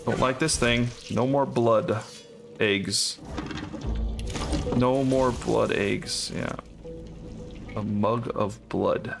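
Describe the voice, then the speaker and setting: A man's voice: mid-range voice, fairly even intonation, extremely slow, gravelly, long pauses, over music. A man giving casual gameplay commentary, thinking aloud in short phrases. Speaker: gamer, co-op let's player.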